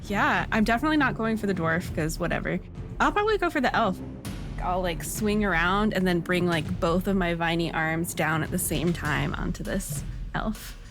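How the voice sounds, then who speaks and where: Low-voiced woman playing a tabletop game. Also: lively intonation, moderate pace, over music. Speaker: guest player voicing the monster's moves. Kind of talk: casual, joking tabletop chat.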